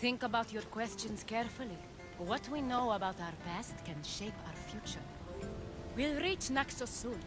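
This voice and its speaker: An actress playing a composed, worldly advisor in an ancient Greek video game, speaking in a measured, deliberate way. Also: female voice, a little high, over music, natural voice. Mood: calm counsel. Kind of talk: video game cutscene acting.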